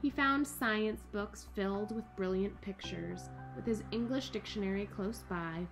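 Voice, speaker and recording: Expressive American woman reading to children. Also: medium pitch. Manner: animated, exaggerated enthusiasm for young children. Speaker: camp director hosting a children's story corner. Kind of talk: children's storytime presenting and picture-book read-aloud. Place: small furnished room at home, indoors.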